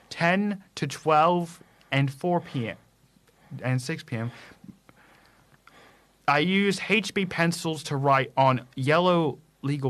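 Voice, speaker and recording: A man with a slightly raised voice hosts a casual quiz segment for a radio show. He reads his clues haltingly and often stumbles and starts over. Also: a little high, fairly bright tone. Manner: halting reading with stumbles and restarts.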